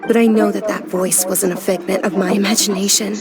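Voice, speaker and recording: A woman's voice: mid-range voice, level tone, a little fast, close to an everyday voice. A woman voicing a sickly, bookish young noblewoman in a video game, speaking earnestly. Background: game music.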